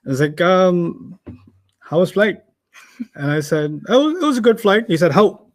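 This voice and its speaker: A man's voice: sits high, animated, unhurried. Chatty man, Arabic teacher. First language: German. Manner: chatty, storytelling, laughs often. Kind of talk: casual teaching chat with anecdotes.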